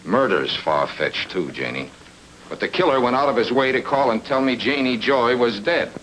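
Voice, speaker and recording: A man's voice: medium pitch, expressive, moderate pace, slight background noise, lightly acted, low-quality recording. An actor playing a hard-boiled, sardonic private detective in an old film noir radio drama, with clipped, slangy tough-guy delivery. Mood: grim, probing. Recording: old radio drama with loud music behind.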